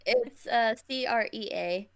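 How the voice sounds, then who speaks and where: A woman's voice: on the high side, takes it slowly, creaky, clear, resonant tone. A woman speaking casually in a group podcast chat.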